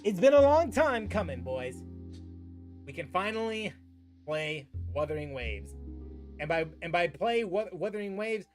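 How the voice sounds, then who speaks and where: A man giving casual live stream commentary as a game streamer, halting and repeating his phrases. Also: high-pitched, sing-song, music in the background.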